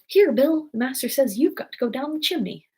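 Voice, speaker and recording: A woman's voice: high-pitched, animated, brisk, warm tone, breathy edge, pitched above the performer's own voice, full character voice. A woman voicing bustling, bickering small storybook workers, calling out bossy orders in a quarrelsome way.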